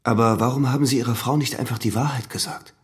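A man's voice: mid-range voice, steady tone, close to an everyday voice. Examining magistrate, male actor, radio drama: mid register, methodical, controlled, persistent questioner. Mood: probing, controlled. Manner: measured, formal, insistent questioning.

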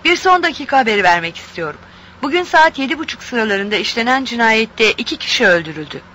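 Radio play role: formal news announcer, high and forceful, crisp scripted bulletin delivery. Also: female voice, lively intonation, fast, slight background noise. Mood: formal, urgent.

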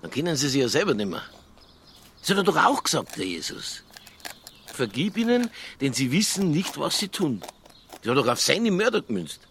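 An actor playing a stubborn, provocative Bavarian police detective in a radio crime drama, earthy and argumentative. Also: male voice, on the high side, very animated, normal speed, a bit bright, a little hiss or hum. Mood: earnest, probing. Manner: earthy, argumentative, quoting scripture.

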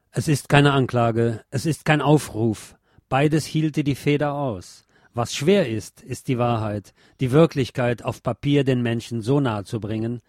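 A man giving a measured, solemn scripted reading of literary prose as narrator, articulating clearly. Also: medium pitch, darkish tone, no echo, clean studio sound. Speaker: narrator and reader.

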